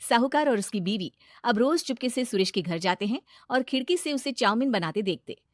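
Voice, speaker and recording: A woman's voice: a little high, animated, fairly quick, no echo, clean studio sound. A woman narrating a scripted moral story.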